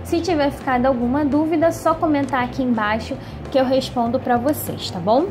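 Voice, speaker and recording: A woman's voice: high-pitched, fairly quick. A Brazilian woman hosting a home cooking tutorial in a chatty voice-over, often checking in with "tá?". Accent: Brazilian Portuguese. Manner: chatty, frequent "tá?" check-ins. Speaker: cooking channel host. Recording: music bed underneath.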